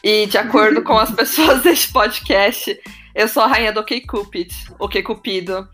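A woman's voice: mid-range voice, a little slow, a bit bright, faint music behind. A young woman with a Brazilian accent, chatting casually and jokingly as a talkative podcast co-host. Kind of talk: casual group chat.

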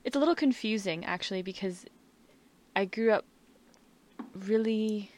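Woman chatting frankly, mid pitch, says like a lot, pretty blunt. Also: normal speed, darkish tone, slight background noise. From Canada. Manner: candid, frank, uses filler like. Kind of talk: casual conversation.

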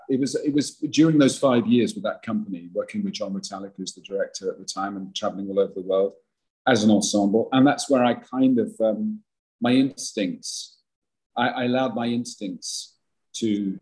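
Older guy actor chatting on a podcast, big husky voice, lowish, keeps restarting his sentences. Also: normal speed, darkish tone, low-quality recording. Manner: rambling, self-deprecating, many restarts. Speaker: actor guest. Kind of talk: podcast interview.

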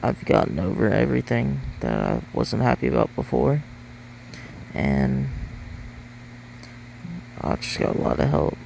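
A man speaks slowly and haltingly into his phone, his voice thick with gravel. His pitch swings widely up and down, and a faint hum of air conditioning sits behind him. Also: wildly swooping pitch, darkish tone, low-quality recording. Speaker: listener sending a voice memo. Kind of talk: casual voice memo.

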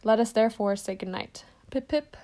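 A woman's voice: fairly high pitch, warm tone, natural voice. A woman reading as a grave old headmaster. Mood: gentle. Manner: measured, solemn, formal. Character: wise old male headmaster, calm, grave.

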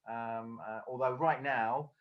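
A man from England chats casually as an interview guest, often pausing with fillers and restarting his sentences. His voice sits at an ordinary middle pitch. The call audio is dull and lo-fi. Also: steady tone. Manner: hesitant, many fillers and restarts. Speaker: guest, speaker coach. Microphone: video call.

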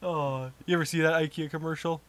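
A man's voice: fairly high pitch, steady tone, brisk. A man co-hosting a casual chat, joking around and laughing easily. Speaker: podcast co-host.

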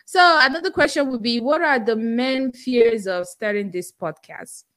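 A woman's voice: on the high side, normal speed, dry recording. A woman with a Nigerian accent hosting a podcast, answering listener questions in a casual livestream Q&A. She speaks earnestly and directly to her audience in a chatty way. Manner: earnest, talks straight to listeners; chatty with frequent fillers and restarts. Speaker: podcast host.

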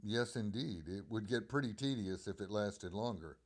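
A man's voice: on the low side, moderate pace, natural voice. A man playing an obliging telephone company supervisor in a radio drama, explaining things courteously. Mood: informative, businesslike. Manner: courteous, explanatory, crisp phrasing.

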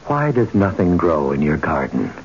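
Immortal god, very low pitch, swooping intonation, solemn, romantic, commanding, intimate, vintage radio drama. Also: male voice, very animated, moderate pace, full character voice. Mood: brooding, probing. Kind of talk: old-time radio drama acting. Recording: muffled, noisy old radio broadcast.

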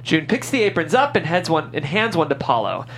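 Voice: mid-range voice, very animated, a little fast, fairly bright tone, a little hiss or hum. A reader delivering scripted stage directions as narrator at a table read.

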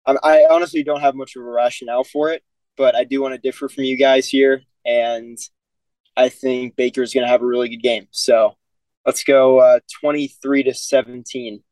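A man's voice: mid-range voice, little pitch movement, normal speed, a little mellow, dry recording, rough recording. Laid-back man, dry close sound. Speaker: podcast co-host. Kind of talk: casual sports chat.

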